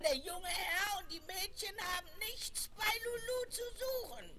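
A woman's voice: very high voice, animated, a little slow. An actress playing a sinister, bad-tempered old fortune-teller woman who scolds suspiciously in a radio play. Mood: hostile. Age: older. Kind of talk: 1970s radio play acting. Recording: noisy vinyl rip with background crowd.

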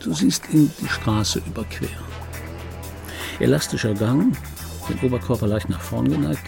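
An actor playing an ageing, wry and obsessive dubbing artist in an intimate radio drama monologue, speaking deliberately with pauses and repeated phrases in a gravelly, husky voice. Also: male voice, medium pitch, lightly acted. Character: ageing male dubbing actor recalling his screen star, wry, obsessive. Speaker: narrator of a radio play.

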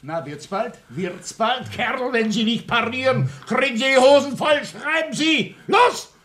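An actor playing a bullying reactionary major in a radio play, barking orders and insults in a hectoring, commanding manner. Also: male voice, extremely high pitch, lively intonation, measured pace, a bit bright, exaggerated voice.

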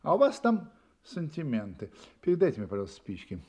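A man acting a vain, didactic gentleman detective in an audio play, speaking in a pedantic, emphatic manner. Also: a little high, huge pitch swings, a little fast, husky, muffled recording, heavily acted. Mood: critical, lecturing. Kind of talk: theatrical radio-play dialogue.

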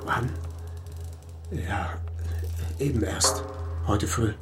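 An actor playing a shaken widowed artist in his forties, halting and stunned, in a radio crime drama. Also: mid-range voice, music in the background. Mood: distraught, halting. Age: 40s.

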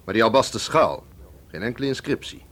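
An actor in a radio drama playing a shrewd, suave museum curator, measured and condescending. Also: male voice, mid-range voice, animated, fairly bright tone. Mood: shrewd, bargaining. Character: shrewd, suave Russian male museum curator, quietly threatening.

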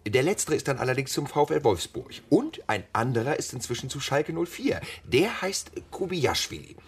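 An actor playing an eager, talkative, pedantic police detective in a German radio play, earnest and explanatory. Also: male voice, medium pitch, big pitch swings, fairly quick, breathy edge. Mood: eager, insistent. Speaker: actor in radio crime drama.

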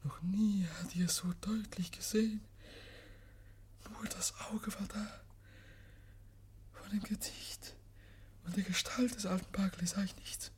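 An actor playing a deranged, obsessive murderer confessing in halting, breathy whispers, as in a 1950s German radio drama. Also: male voice, high-pitched, steady tone, a little mellow. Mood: feverish, mounting. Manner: halting, feverishly intense, repeating phrases.

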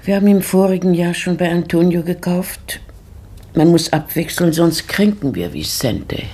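An actress playing a grieving, brooding widowed lady of the house in a German radio play from the 1960s, halting and searching, often dropping into whispers. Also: lowish voice, dark tone, breathy edge. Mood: stern. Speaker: Donna Francisca, mistress of the house.

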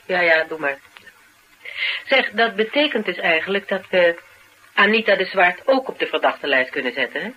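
An actress playing a shrewd, probing investigator, questioning in a dry, colloquial radio drama style. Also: female voice, on the low side, expressive, moderate pace, sharp tone. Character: shrewd, probing female investigator, cool and direct. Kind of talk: naturalistic radio drama acting. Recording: noisy radio drama recording.

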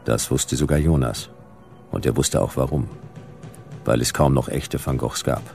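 An actor playing a laconic, world-weary private detective in a dystopian radio drama, speaking dryly with a gravelly voice. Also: male voice, extremely low pitch, moderate pace. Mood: grim, weary. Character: hard-boiled, sardonic male private detective in dystopian future. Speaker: narrator and lead character. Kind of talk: noir radio drama narration. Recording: music behind the voice.

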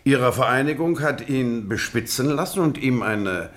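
A man acting in a German radio drama as a courteous, probing investigator, speaking in a measured, ironically polite and rhetorical way. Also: mid-range voice, expressive, a bit bright, lightly acted. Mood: suave, insinuating.

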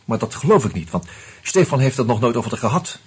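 A husky, agitated amateur sleuth. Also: male voice, mid-range voice, big pitch swings, brisk. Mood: brooding, intent. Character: earnest, agitated amateur sleuth, a murdered man's loyal friend. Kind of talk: Dutch radio play acting.

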